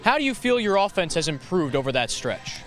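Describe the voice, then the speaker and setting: A man's voice: high-pitched, sing-song, a little fast, fairly bright tone, faint music behind. An American man working as a sports announcer and reporter, with a broadcast delivery. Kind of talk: play-by-play, interviewing and scripted reporting.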